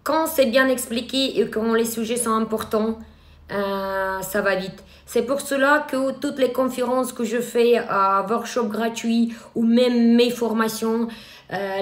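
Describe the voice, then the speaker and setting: Ukrainian-accented woman, echoey room. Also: a little high. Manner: hesitant, many filler sounds and restarts. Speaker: eyelash extension trainer. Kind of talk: live online workshop talk.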